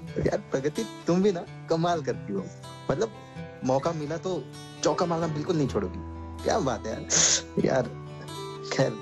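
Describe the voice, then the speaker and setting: A man's voice: fairly high pitch, sing-song, moderate pace. A man acting a playful young blind man in a radio play, joking with his fiancée and then speaking earnestly, over guitar music. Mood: teasing. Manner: joking banter, turning earnest. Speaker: lead actor in a radio play.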